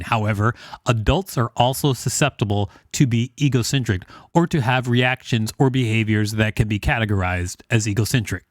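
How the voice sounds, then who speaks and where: A man's voice: medium pitch, no echo, clean studio sound. A man co-hosting a podcast, reading an encyclopedia article aloud with informal, joking banter. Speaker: podcast co-host.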